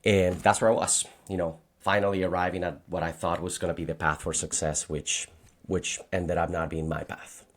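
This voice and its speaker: A man's voice: deep voice, little pitch movement, moderate pace. A man around forty with a Colombian accent and Spanish as his first language, talking conversationally as a podcast guest. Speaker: podcast guest, financial agent. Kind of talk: conversational personal storytelling.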